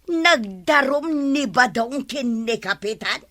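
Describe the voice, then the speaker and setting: A woman's voice: high voice, animated, takes it slowly, sharp tone, heavily acted. A woman with an Ilocano accent playing a strong-willed village wife in a radio comedy drama. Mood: indignant.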